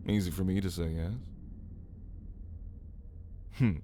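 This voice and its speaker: A man's voice: low voice, fairly even intonation, slow, warm tone, a little hiss or hum. A man playing a brooding, menacing caged vampire in an ASMR roleplay, speaking in a theatrical, taunting way with archaic wording. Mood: sly, persuasive. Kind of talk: ASMR roleplay, dramatic monologue to listener.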